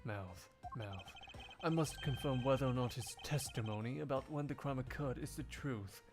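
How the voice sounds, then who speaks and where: A man voicing a cool, stern investigator in a measured, formal way for a game fandub. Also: medium pitch, music in the background, lightly acted. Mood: stern, probing. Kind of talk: video game fandub acting.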